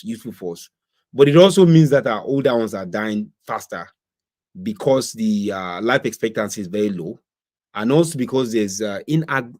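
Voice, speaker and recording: A Nigerian man hosts his own livestream and talks through the news in a loose, conversational way. His voice sits at an ordinary middle pitch. He leans on pointed rhetorical questions and mixes pidgin phrases into his English. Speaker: livestream host. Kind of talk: casual political commentary. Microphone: streaming setup.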